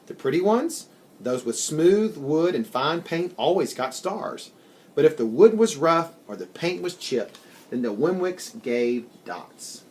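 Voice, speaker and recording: A man reading a children's story aloud in an expressive, deliberate storytelling manner. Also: on the high side. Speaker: story reader. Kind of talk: scripted children's storybook narration.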